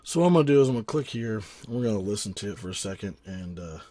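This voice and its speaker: A man teaching audio cleanup in a casual tutorial, rambling with frequent ums and restarts, his voice raspy from a cold. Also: lowish voice, expressive, very fast, mellow. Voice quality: husky. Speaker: sound engineer teaching a tutorial. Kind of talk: casual screen-recorded software tutorial.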